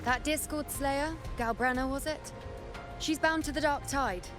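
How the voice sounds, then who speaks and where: An actress playing a calm, knowing mentor in a video game, speaking in a measured, deliberate, instructive way. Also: female voice, sits high, music in the background. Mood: calm, guiding. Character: calm, knowing female mentor, composed and cryptic. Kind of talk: dramatic video game acting.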